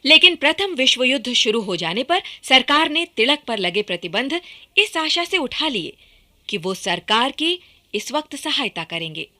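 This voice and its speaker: A woman's voice: high-pitched, very animated, moderate pace, sharp tone. A woman narrating a scripted historical radio drama in a dramatic, projected manner.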